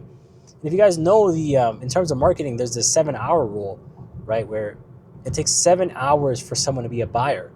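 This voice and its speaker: A man's voice: medium pitch, darkish tone, slight background noise. A man talking casually about business while driving, rambling with many fillers and asides, his voice starting to go from illness. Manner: rambling, filler-heavy, keeps asking "right?". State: ill, voice starting to go. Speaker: business vlogger. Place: in a car.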